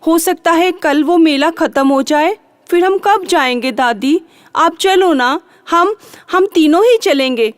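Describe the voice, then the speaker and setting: A woman's voice: very high voice, takes it slowly, no room sound, clean studio sound. A woman voicing an earnest young boy in a children's story, speaking in a polite, coaxing way. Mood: pleading. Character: earnest young boy pleading with his grandmother.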